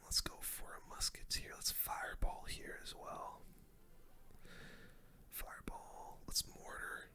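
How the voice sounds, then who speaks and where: A person giving whispered ASMR commentary on a game, calm and breathy, muttering a running play-by-play close to the microphone. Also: slow pace, long pauses. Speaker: gaming streamer.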